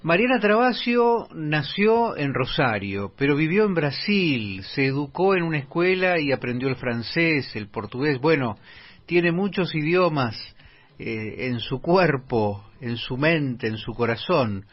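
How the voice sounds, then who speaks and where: A man's voice: on the high side, expressive, measured pace. Formal male radio host on dull audio. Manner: formal presenter, introduces and asks questions. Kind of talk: radio interview presenting.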